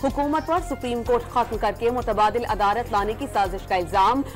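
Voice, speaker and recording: Woman, Pakistani Urdu accent, TV headline reader, crisp formal delivery, faint music underneath. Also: fairly high pitch, a little fast. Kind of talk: scripted news headlines. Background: news music bed.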